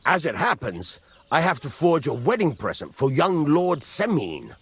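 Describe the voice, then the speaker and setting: An actor playing a gruff middle-aged village blacksmith in a video game, husky, blunt and rustic, giving plain instruction. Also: male voice, a little high, huge pitch swings, normal speed, heavily acted. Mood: businesslike. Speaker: master blacksmith. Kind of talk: video game character acting.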